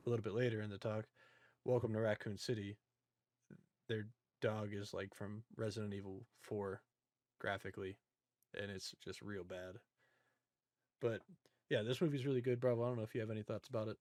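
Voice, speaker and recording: A man's voice: on the low side, fairly even intonation, moderate pace, pauses often, a little mellow, no room sound, studio quality. A man hosting a podcast, talking casually about films in an informal, joking recap style. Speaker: podcast host. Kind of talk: casual movie discussion.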